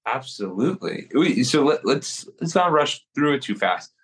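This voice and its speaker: An upbeat man hosts a podcast interview in a casual style, firing off follow-up questions. His voice has a slight husk to it and sits at a middle pitch. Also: sing-song, slightly rough. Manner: upbeat, laughs often, quick follow-up questions. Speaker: podcast host.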